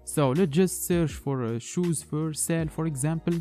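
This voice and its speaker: Male tech tutorial host, mellow tone, plain step-by-step delivery, faint music underneath. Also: on the high side, moderate pace. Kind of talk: screen-recorded tech tutorial voice-over.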